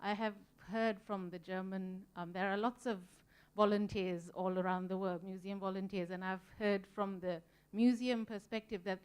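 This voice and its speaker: A woman's voice: mid-range voice, fairly even intonation, moderate pace, hardly any pauses, darkish tone, smooth. A woman from Sri Lanka speaking on a conference panel, earnest and reflective, with frequent ums as she thinks. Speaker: museum volunteer panelist. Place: conference room.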